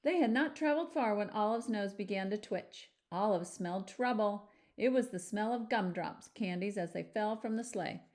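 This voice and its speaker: Woman teacher doing a kids read aloud, lively, stops to chat and ask the kids stuff. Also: medium pitch, normal speed, few pauses. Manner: animated, addresses young listeners with questions. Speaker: teacher reading a picture book aloud. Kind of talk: children's storybook read-aloud with chatty asides.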